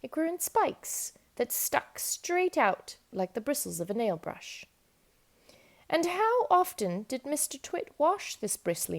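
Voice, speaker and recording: A woman reading a children's book aloud in an expressive, emphatic storytelling manner. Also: mid-range voice, creaky. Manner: animated, emphatic storytelling with dramatic stresses. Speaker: storybook reader.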